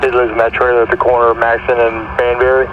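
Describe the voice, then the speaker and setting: A man's voice: mid-range voice, completely flat delivery, fast, phone-quality sound. A man talking procedurally as a police officer over a two-way radio, heard through a very noisy scanner.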